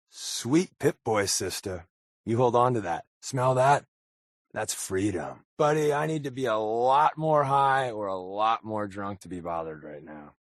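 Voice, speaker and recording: A wisecracking male undercover agent for a video game. His delivery is casual and sardonic, with a lively, mobile pitch that sits in a middle male register. The sound is dry and free of room echo. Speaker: voice actor playing a game companion. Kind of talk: video game character dialogue.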